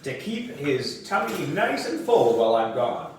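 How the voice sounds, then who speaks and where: Man acting a doting, animated school principal, roomy echo. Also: fairly high pitch, measured pace, recorded from a distance, slight background noise. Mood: explaining proudly. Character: doting male school principal fond of his pet frog.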